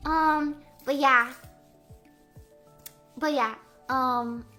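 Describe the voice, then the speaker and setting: A young woman from the Midwest chatting playfully on a live stream, answering chat guesses, full of "like" fillers and drawn-out vowels. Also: sits high, steady tone, bright, forceful tone, faint music behind. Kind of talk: casual live-stream chat reading comments.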